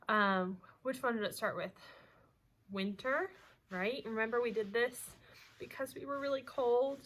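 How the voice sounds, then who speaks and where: Woman, high bright voice, teacher reading aloud to kids, expressive storytelling, unhurried. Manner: asks listeners questions, animated storytelling delivery. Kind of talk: expressive picture-book read-aloud for young children.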